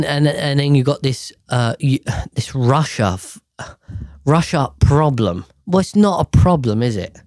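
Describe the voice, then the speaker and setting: A man's voice: mid-range voice, a little mellow, no room sound, clean studio sound. Swearing, exasperated man ranting. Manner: rambling with restarts and sighs. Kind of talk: casual rant to camera.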